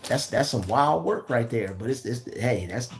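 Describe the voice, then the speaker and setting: Raspy-voiced man, earnest pep talk, repeats himself, faint music. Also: medium pitch, animated, normal speed. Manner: repeats phrases, preachy and earnest. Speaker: motivational vlogger. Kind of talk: casual motivational talk.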